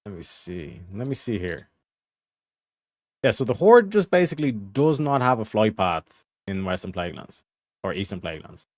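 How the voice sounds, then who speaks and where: Male gaming streamer, unhurried, profane and joking, dry close mic. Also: mid-range voice, a little mellow, no room sound. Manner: profane, joking, talks to chat while playing. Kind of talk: casual gaming livestream chat.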